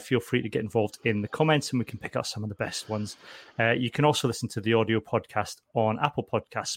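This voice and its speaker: Male podcast host, mid-pitched, jokey and chatty, dry room. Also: no echo. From Scotland. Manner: chatty, jokey, many restarts. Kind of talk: casual podcast chat.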